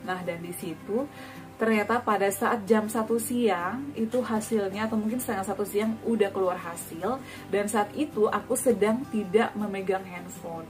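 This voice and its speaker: A woman's voice: medium pitch, normal speed, music in the background. Chatty woman telling her story, distant mic. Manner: chatty, frequent "ya" tags and hesitations. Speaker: vlogger sharing a personal story. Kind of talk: casual personal storytelling.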